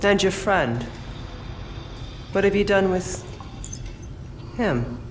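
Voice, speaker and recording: Cold, slow-talking ship captain. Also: female voice, medium pitch, lively intonation, slight background noise. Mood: taunting, cool. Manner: measured, imperious, menacing. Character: cold, commanding spaceship captain of mercenaries, threatening. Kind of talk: cinematic video game voice acting.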